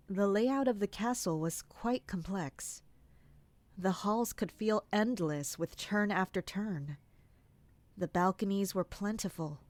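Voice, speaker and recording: A woman's voice: mid-range voice, darkish tone, no room sound, lightly acted. A woman playing a vigilant, anxious castle guardian in an audio drama, both narrating and speaking in scenes. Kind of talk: first-person audio drama.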